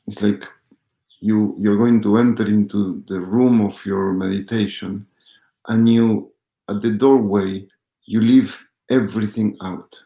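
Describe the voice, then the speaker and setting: A man leading a live guided meditation as a teacher, speaking with pauses and gentle repetition. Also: lowish voice, steady tone, vocal fry. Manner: slow, pausing, gentle repetition. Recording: roomy echo.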